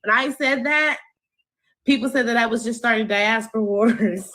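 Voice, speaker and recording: A woman's voice: a little high, moderate pace, fairly bright tone. A woman commentator giving an indignant, emphatic opinion with sarcastic jabs. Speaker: online commentator.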